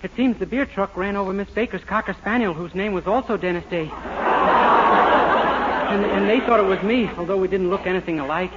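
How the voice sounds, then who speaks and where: Man playing a high-voiced, naive radio sitcom lad. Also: brisk, natural voice. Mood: innocent, chipper. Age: young adult. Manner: guileless, literal-minded. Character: naive chipper young man playing pranks. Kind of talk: 1940s radio sitcom acting. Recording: noisy, muffled 1940s radio recording.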